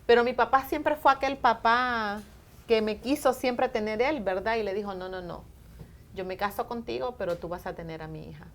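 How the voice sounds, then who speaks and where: A woman's voice: mid-range voice, normal speed, slight background noise. A woman from Honduras, a church elder, giving an earnest, devout testimony in a TV studio interview. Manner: earnest, devout, answers with "amén".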